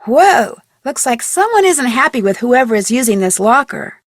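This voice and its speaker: Young female game detective, inquisitive, high and lively pitch, thinking aloud, scripted lines, dry studio sound. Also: measured pace, dry recording, full character voice. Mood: surprised. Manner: musing aloud to herself. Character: curious young woman sleuth working as production assistant. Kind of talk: scripted adventure game monologue.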